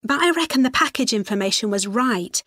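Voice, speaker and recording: A woman's voice: a little high, animated, brisk, a bit bright, no echo, clean studio sound. A woman playing a female university student in a scripted educational dialogue. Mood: studious, matter-of-fact. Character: female university student discussing a joint presentation.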